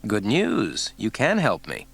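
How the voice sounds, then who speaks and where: A man's voice: on the low side, wildly swooping pitch, slow pace, gravelly, a bit bright, slightly rough, exaggerated voice. A man voicing a cocky male federal agent who speaks mildly and wryly. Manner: mild, wry, cocky.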